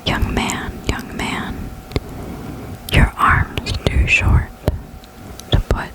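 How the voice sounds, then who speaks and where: Whispered voice, breathy, slow, gentle ASMR reading, close microphone, soothing. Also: rough recording. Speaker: host of an ASMR reading channel. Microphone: close desktop condenser microphone.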